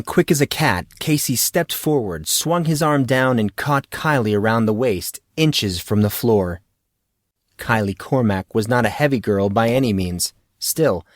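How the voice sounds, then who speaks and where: A man reading a fantasy audiobook in a measured, dramatic storytelling manner. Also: medium pitch, dry recording, clean studio sound. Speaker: audiobook narrator. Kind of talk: scripted fantasy story narration.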